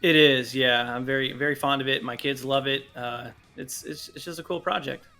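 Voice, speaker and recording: Interview guest: man, bright medium-pitched voice, enthusiastic, casual conversational delivery. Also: fairly even intonation, normal speed, soft background music. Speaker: podcast guest, audio drama producer.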